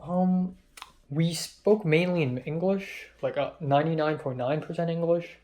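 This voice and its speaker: Young guy vlogging, kinda high voice, rambly and excitable, swears a lot. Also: measured pace. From Hong Kong. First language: Cantonese. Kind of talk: casual diary vlog.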